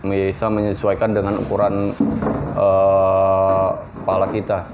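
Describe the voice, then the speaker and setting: A man's voice: low-pitched, completely flat delivery, takes it slowly, a crowd in the background, poor audio. A man, giving an informal, slangy, unscripted hands-on product review. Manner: informal, slangy, talking through a hands-on demonstration.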